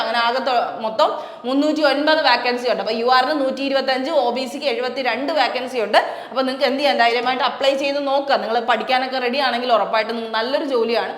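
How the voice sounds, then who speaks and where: Fast, high-voiced Malayali woman tutor. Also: a bit bright, reverberant, big-room sound. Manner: chatty, repeats key facts, asks rhetorical questions. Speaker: exam coaching tutor. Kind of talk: explaining a job notification.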